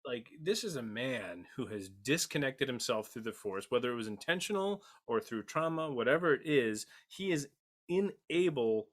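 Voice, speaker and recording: A man with a mid-pitched voice talks as a podcast panelist. His delivery is casual yet emphatic, with dramatic phrasing and repeated words. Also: animated, normal speed. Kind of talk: casual discussion of a TV series.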